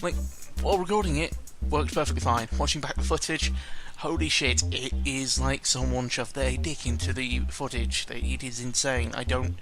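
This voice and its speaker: A man's voice: medium pitch, fairly even intonation, normal speed, vocal fry, few pauses. A man giving a casual, rambling, sarcastic and sweary chat as a YouTuber, often stumbling over his words, speaking into a close microphone. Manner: self-deprecating, sarcastic, sweary. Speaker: YouTuber recording an audio log. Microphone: close microphone with pop filter. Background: background music.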